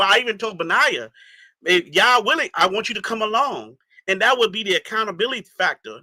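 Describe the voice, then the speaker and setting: Man from the Bahamas, very bright tone, fairly high voice, emphatic casual talk, dry close sound. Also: dry recording. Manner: emphatic, repeats "you see what I'm saying". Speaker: guest.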